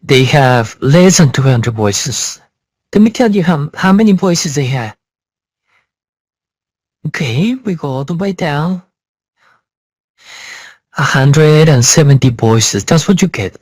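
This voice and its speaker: Slow-talking, insistent man with swooping pitch. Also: lively intonation, darkish tone, no room sound. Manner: blunt, insistent, repeating himself. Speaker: software reviewer. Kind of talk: casual spoken review.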